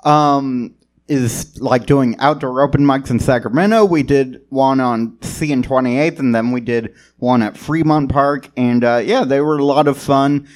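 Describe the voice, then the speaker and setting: A man's voice: medium pitch, fairly even intonation. A man co-hosting a casual podcast chat who laughs a lot and swears as he talks. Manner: laughs a lot, drawn-out, profane. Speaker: podcast co-host.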